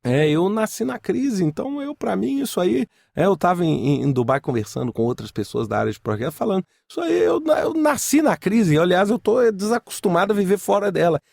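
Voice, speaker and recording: A man's voice: high voice, big pitch swings, a little fast, vocal fry, few pauses, darkish tone, no room sound, clean studio sound. A man with a Brazilian accent hosting a podcast alone, speaking informally and emphatically, with repeated phrases and rhetorical questions. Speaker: podcast host. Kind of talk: informal solo podcast commentary.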